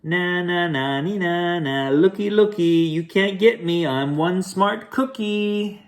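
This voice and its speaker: A man's voice: high voice, slow, pitched above the performer's own voice. A man voicing a boastful little cookie who chants teasing rhymes in a sing-song way. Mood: taunting.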